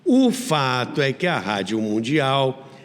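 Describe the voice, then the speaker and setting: A man's voice: mid-range voice, wildly swooping pitch, slow pace, long reverb tail. A Brazilian man hosting a history program, narrating in a conversational storyteller's way with wry, theatrical asides. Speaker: host of a radio history show.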